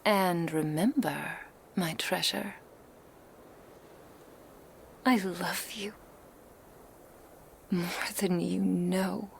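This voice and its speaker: A possessive kitsune witch, acted by a woman murmurs in a breathy whisper for an ASMR roleplay. The voice sits fairly low and moves extremely slowly, with formal, tender phrasing over noticeable background ambience. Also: slight background noise, full character voice. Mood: tender, reassuring.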